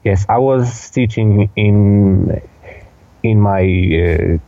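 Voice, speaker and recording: Very mellow young Polish man, halting. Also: fairly low pitch, dry recording, highs cut off. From Poland. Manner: hesitant, halting, repeats words. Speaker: podcast guest, dance instructor. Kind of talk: casual interview answers.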